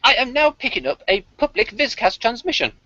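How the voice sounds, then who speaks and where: A fussy, pompous machine mind from a sci-fi series, acted out by a man, pitched high and full of indignant protest. The recording is dry and a little dull. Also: expressive, a little fast, bright, forceful tone, no room sound, full character voice. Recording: dull, lo-fi audio.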